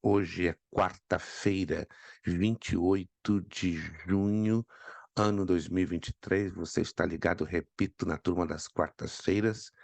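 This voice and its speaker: A man, hoarse from a cold, teaching an online Bible class and calling on students by name. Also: deep voice, fairly even intonation, measured pace, darkish tone, no echo. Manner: repeats key points for emphasis.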